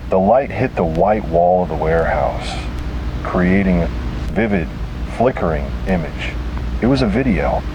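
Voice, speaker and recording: A man's voice: low-pitched, animated, unhurried, vocal fry, background noise. A man narrating a scripted first-person detective horror story.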